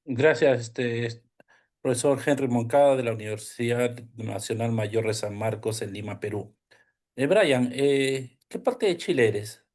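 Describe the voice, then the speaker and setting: A man moderating an online academic seminar in Spanish, politely and haltingly introducing the next participants. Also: medium pitch, a little mellow.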